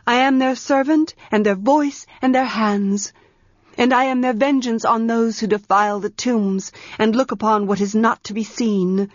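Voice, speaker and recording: Woman voicing a haughty, high-pitched young priestess. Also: fairly even intonation, moderate pace, dry recording, lo-fi sound, close to an everyday voice. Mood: imperious, threatening. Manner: haughty, scoffing, insistent. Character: proud, scornful young priestess girl, imperious and defensive.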